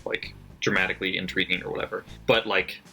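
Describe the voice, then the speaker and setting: A man's voice: low voice, flat delivery, quick pace, vocal fry, sharp tone. A man casually reading out his margin notes on a book, chatty and sardonic, with lots of fillers and swearing. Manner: loose and chatty, many fillers, frequent swearing; sardonic and critical, laughs at own notes. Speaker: book vlogger. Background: faint background music.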